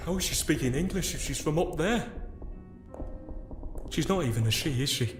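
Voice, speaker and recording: A man's voice: a little high, animated, moderate pace, natural voice. A man playing a frightened, blunt and sceptical sidekick in an audio drama, blurting things out colloquially. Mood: sceptical, cheeky. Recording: echoey sound with music underneath.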